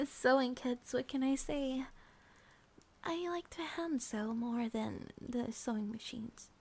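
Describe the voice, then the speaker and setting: Woman acting a high, sleepy, soft-voiced girlfriend. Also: takes it slowly, full character voice. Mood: drowsy, chatty. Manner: soft, breathy, often whispering, with sighs and giggles. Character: sleepy, affectionate young schoolgirl girlfriend. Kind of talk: ASMR girlfriend roleplay.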